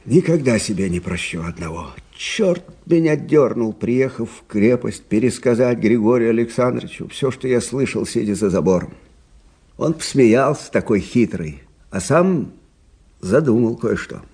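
Husky, expressive old soldier telling tales. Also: male voice, medium pitch, moderate pace. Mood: rueful. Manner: conversational, expressive, folksy. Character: old army officer recounting frontier tales, plainspoken, rueful. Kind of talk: dramatic storytelling in a radio play.

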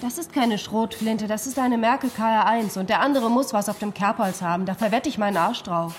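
Give this radio play actress as an assertive woman farmer who teases and talks bluntly. Her voice sits fairly high. Clear noise surrounds it, as in a phone call scene. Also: moderate pace, lightly acted. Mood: indignant, stubborn. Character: headstrong, teasing female organic farmer, secret lover. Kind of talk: naturalistic radio drama dialogue.

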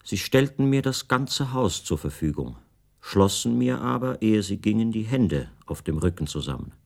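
An actor playing a captive writer who recounts his confinement in measured, precise, detached literary narration for a radio play. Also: lowish voice, sing-song, vocal fry, a little mellow, exaggerated voice. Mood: calm, observant.

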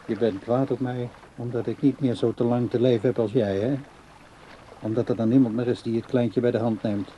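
A tender but stubborn ageing man with a warm, dark voice, played by an actor. He is easily fed up, in the manner of a radio play character. The recording carries faint background noise. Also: medium pitch, steady tone, a little fast, close to an everyday voice. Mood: chiding, coaxing. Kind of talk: Dutch radio play acting.

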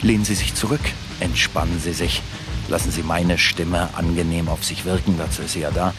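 An actor playing an unctuous, self-satisfied radio host in a satirical radio play, with theatrical announcer patter. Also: male voice, low voice, steady tone, a little fast, over music, natural voice. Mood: solemn, soothing.

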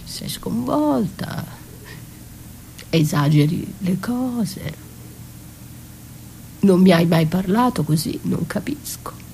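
An actress playing a wounded, betrayed wife in a theatrical monologue, bitter and defiant, with halting, intense delivery. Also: female voice, lowish voice, animated, a little mellow, a little hiss or hum, full character voice, poor audio. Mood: defiant, hurt. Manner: dramatic, halting, intense, dropping to breathy whispers. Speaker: stage actress performing a solo.

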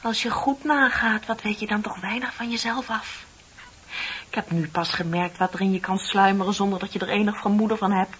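An actress playing a heartbroken, ashamed young woman confessing in a radio play, dramatic and drifting into breathy near-whispers. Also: mid-range voice, a little fast, natural voice. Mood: bitter, anguished. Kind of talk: Dutch radio play acting. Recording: muffled radio play recording with tape hiss.

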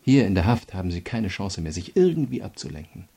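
An actor playing a calm, insistent state prosecutor who questions a prisoner in a measured way, in a radio crime drama. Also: male voice, sits low, creaky, breathy edge, full character voice. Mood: grave, accusing.

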